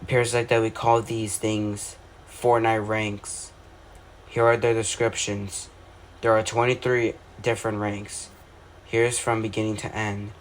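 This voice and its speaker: A man's voice: fairly low pitch, flat delivery, a little slow. A man doing amateur scripted narration in an announcer-like way, stumbling over words at times. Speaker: video creator narrating own fictional alert scenario. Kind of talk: amateur scripted narration of mock emergency broadcasts. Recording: noticeable background noise.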